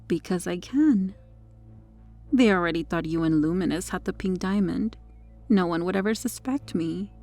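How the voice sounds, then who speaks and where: A smug, possessive superheroine played by a woman speaks in a mellow voice with plenty of creak at the edges. She is flirtatious and condescending, teasing her captive in an intimate ASMR roleplay. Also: on the low side, normal speed, soft background music, natural voice. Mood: smug scheming. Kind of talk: ASMR roleplay monologue addressed to the listener.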